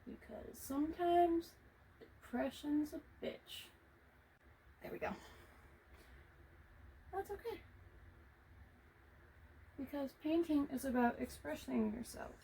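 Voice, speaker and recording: A woman vlogger talking casually to herself as she paints, reflective, halting and encouraging, with a naturally quiet voice that she tries to project. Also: high-pitched, gravelly, slight background noise, low-quality recording. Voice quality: normally quiet voice, making an effort to project. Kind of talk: casual self-talk while painting. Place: hot room indoors.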